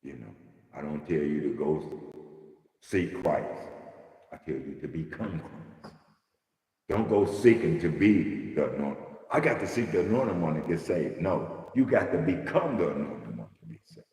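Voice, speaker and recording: A man's voice: extremely low pitch, big pitch swings, moderate pace, gravelly, darkish tone, heavy reverb, big-room sound. A man hosting a livestream talk, speaking in a preachy way that builds to impassioned emphasis, his voice wearing out. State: losing his voice. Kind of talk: casual spiritual conversation.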